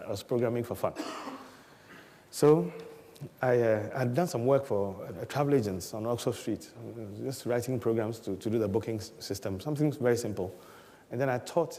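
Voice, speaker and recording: A middle-aged man from Ghana tells his life story in an easygoing way, chuckling often as he goes. His voice sits at a middling pitch. A long reverb trails behind him. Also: warm tone. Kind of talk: relaxed storytelling.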